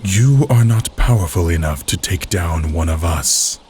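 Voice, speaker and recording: An actor voicing a weary, stern, powerful immortal man in a video game, speaking gravely and with measured delivery. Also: deep voice, expressive, darkish tone, a little hiss or hum. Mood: grave, dry. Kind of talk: dramatic video game dialogue.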